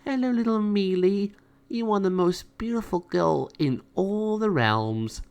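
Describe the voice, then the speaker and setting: Guy putting on a really high emotional girly fairy voice, sweet, kids story. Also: animated, measured pace, vocal fry, a little mellow, clean tone, heavily acted. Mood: adoring. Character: tender, emotional little female fairy, sweetly adoring. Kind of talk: children's storybook read-aloud.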